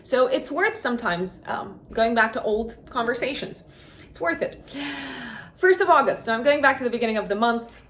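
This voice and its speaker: A woman's voice: a little high, lively intonation, normal speed, a bit bright, slight background noise. A woman who is a native Romanian speaker, chatting casually and playfully as an astrologer giving a horoscope, thinking aloud as she goes. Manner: informal and playful, thinks aloud with repeated words. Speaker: astrologer and host. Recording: echoey, reverberant room.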